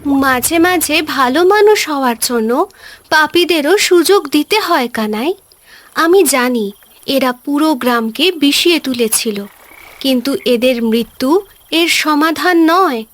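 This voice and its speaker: Slow, high-voiced solemn mermaid played by a woman. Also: lively intonation, a bit bright, no echo. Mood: stern, merciful. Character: wise, merciful motherly mermaid spirit who judges. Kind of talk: Bengali cartoon voice acting. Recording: music behind the voice.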